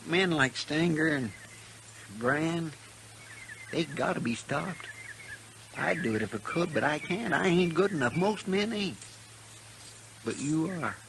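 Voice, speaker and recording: A man's voice: a little high, animated, unhurried, gravelly, pauses often, a bit bright, exaggerated voice. A man playing an earnest, loyal cowtown sidekick in a 1950s western radio drama, with a drawling rural American western accent. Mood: sombre, earnest. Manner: drawling, plain-spoken, deferential. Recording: noisy 1950s radio recording, outdoor sound effects.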